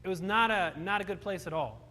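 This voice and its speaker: Young man, from Romania, high voice, joking storyteller, live hall reverb. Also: expressive, brisk, bright, forceful tone. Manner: humorous, self-deprecating, frequent restarts. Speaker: motivational speaker. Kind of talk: storytelling talk to a live audience. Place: large hall with audience.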